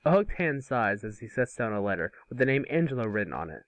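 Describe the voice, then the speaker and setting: A man's voice: medium pitch, sing-song, fast, crowd noise. A man reading scripted scene narration informally at an amateur table read. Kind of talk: scripted narration of stage directions.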